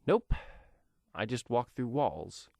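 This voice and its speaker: A man's voice: medium pitch, very animated, slow, gravelly, darkish tone, no echo, studio quality, heavily acted. A man voicing a superhero's mocking, irritated brother in an audio comic story. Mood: grudging, sarcastic. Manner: mocking, exasperated.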